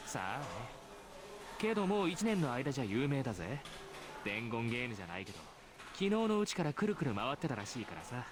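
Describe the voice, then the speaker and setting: Male actor as smug teen schoolboy, visual novel, sneering, very animated pitch, fast, theatrical. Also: noisy background, lightly acted. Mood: smug mockery. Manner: mocking, flippant, theatrical. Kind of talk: Japanese visual novel voice acting.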